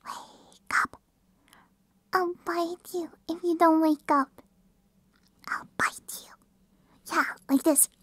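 A woman voicing a playful, affectionate, bratty puppy girl in an ASMR roleplay, cutesy and breathy, close to the microphone. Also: sits high, pushed and bright, no echo, exaggerated voice. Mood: teasing.

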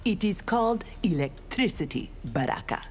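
A woman's voice: mid-range voice, big pitch swings, normal speed, darkish tone, soft background music, lightly acted. A woman with a Nigerian accent voicing a proud, righteous young ninja warrior in a fighting game, formal and defiant. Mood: resolute. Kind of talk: video game fight dialogue.

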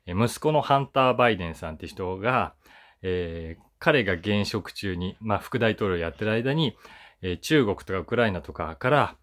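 Male, 40s, casual solo commentary, conversational and musing, very lively intonation. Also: fairly low pitch, moderate pace. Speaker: voice actor hosting his own talk channel. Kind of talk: casual chatty commentary to camera.

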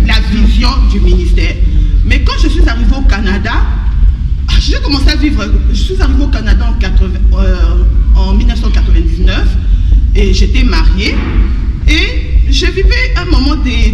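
A woman in her forties from Congo giving a spontaneous testimony on a church stage into a handheld mic, halting with many restarts and repeated words. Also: on the high side, background noise. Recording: echoey hall, heard from far, dull.

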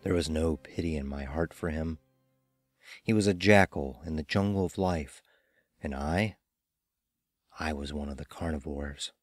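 Low-voiced, cold, ruthless killer narrator. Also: male voice, no echo, clean studio sound. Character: cold, ruthless dying rich gambler confessing murder. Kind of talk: dramatic first-person horror audio narration.

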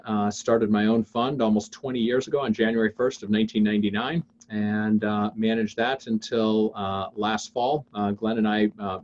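American guy in his 50s, lowish voice, lots of uhs, hosting a webinar, bit of hiss. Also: darkish tone, highs cut off. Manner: halting, frequent filled pauses and restarts. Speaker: webinar host and investing teacher. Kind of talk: informal webinar presentation. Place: indoors on a video call.

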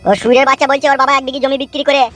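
Male performer as timid henchman, comedy cartoon, rural West Bengal accent, sharp bright tone. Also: very high voice, level tone, rapid-fire, soft background music. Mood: fearful, pleading. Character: cowardly male henchman, whining to his boss.

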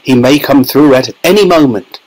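Storybook eccentric: reader as bearded male oddball, breezy, brisk, excitable. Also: medium pitch, a little hiss or hum, natural voice, poor audio. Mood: mild concern. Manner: exclamatory, breezy.

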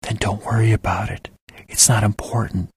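A man voicing a calm, gentle, motherly woman who speaks softly and soothingly. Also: on the low side, normal speed, husky, no echo. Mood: reassuring. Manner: soft, soothing, near-whispered delivery.

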